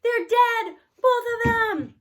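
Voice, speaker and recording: A woman's voice: extremely high pitch, slow, voice pushed up. A woman voicing a blunt, dramatic eighth-grade boy in a storybook, with emphatic delivery. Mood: anguished.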